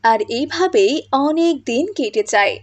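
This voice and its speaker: Very high-pitched woman storyteller. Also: lively intonation, normal speed, loud music behind the voice. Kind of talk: scripted narration of a cartoon moral story.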